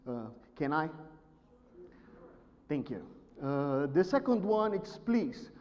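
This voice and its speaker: A man's voice: on the high side, sing-song, slow, darkish tone. A nervous man from Brazil with a Brazilian Portuguese accent, a native Portuguese speaker, presenting politely at a conference. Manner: polite, apologetic. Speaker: presenter, market analyst. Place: conference stage in a hall. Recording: dull sound, long hall reverb.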